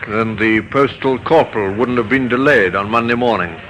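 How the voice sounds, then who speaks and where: An actor playing a courteous, methodical police inspector who questions briskly in a vintage radio drama. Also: male voice, mid-range voice, fairly bright tone, soft background music, lightly acted. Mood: firm, probing. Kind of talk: 1950s radio drama acting. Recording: old radio recording with faint noise.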